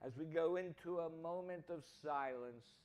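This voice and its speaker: A man speaking as a minister to his congregation, reading and preaching with measured, deliberate phrasing. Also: on the high side, a little mellow. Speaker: minister leading a church service. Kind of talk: sermon, reading aloud to a congregation.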